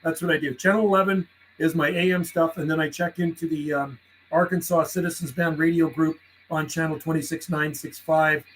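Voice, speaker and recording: Brief: chatty radio hobbyist man from western Canada, talks call signs and jargon, pitched a bit high, faint hiss behind. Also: steady tone, brisk, a bit bright, low-quality recording. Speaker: CB radio operator streaming live. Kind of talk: casual on-air radio chat.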